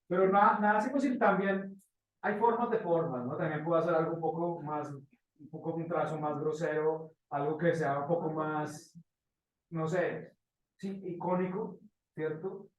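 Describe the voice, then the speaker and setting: A man teaching informally to a class, pausing to search for phrases and checking in with short questions, recorded at a distance in an echoey room. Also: fairly high pitch. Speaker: motion graphics teacher. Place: indoor classroom.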